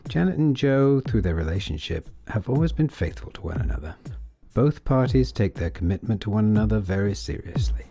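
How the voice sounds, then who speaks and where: A man reading scripted narration for an audio play in a measured, deliberate way. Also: fairly low pitch, expressive, creaky, dark tone. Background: music.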